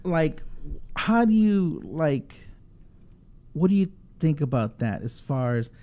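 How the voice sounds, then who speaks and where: Male host, dark mellow tone, halting questions, loose chat-show delivery. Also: on the high side, big pitch swings. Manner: halting questions, many fillers. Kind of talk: casual chat.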